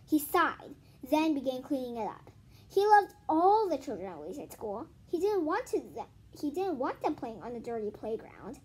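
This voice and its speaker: A woman's voice: high-pitched, very animated, moderate pace, darkish tone. A female speaker in a casual home read-aloud and vlog, chatting informally with muttered asides to herself. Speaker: host reading a children's book aloud. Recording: noisy home recording with clicks.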